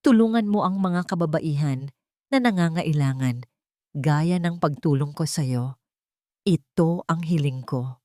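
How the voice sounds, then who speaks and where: A woman voicing a kindly, proud, frail old grandmother in a storybook romance, gentle and earnest. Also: low-pitched, unhurried, long pauses, dry recording, clean studio sound. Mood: solemn, loving.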